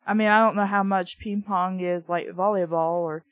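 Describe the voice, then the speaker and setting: A woman's voice: fairly low pitch, steady tone, moderate pace, dry recording, lo-fi sound. A woman reading a book aloud casually, with blunt, sweary, opinionated off-the-cuff commentary. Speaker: reader and commentator of a children's book.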